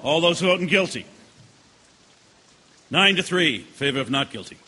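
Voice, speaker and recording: A bright-voiced, businesslike jury foreman. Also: on the high side, lively intonation, in a large hall, a little hiss or hum. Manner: procedural, plain, measured. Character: orderly, businesslike jury foreman running the vote. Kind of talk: stage-style radio drama acting.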